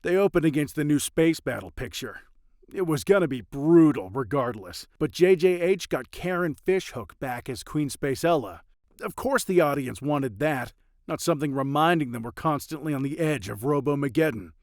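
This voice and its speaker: A man's voice: fairly high pitch, expressive, moderate pace, a little mellow, no room sound, studio quality. A man playing a blunt, world-weary movie agent in a comic dub, with a matter-of-fact way of talking. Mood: blunt, consoling. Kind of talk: animated comic dub acting.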